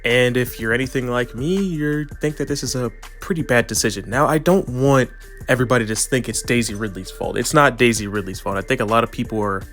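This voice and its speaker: A man's voice: medium pitch, fairly quick, fairly bright tone, faint music behind. A man with a stuffy, nasal voice from allergies, giving earnest, rambling opinion commentary with frequent restarts. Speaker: video commentator.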